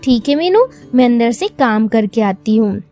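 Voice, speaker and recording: A woman's voice: on the high side, animated, normal speed, warm tone. A woman playing a caring, anxious poor mother who works as a maid, speaking earnestly in a children's story with music behind. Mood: cautioning. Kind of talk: acted children's moral story.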